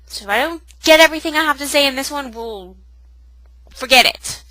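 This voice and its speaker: A woman's voice: high voice, brisk, pushed and bright, no echo. A young woman with a cold, sounding nasal and sniffly, chatting in a casual, self-mocking home vlog. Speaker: vlogger. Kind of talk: casual pregnancy update vlog.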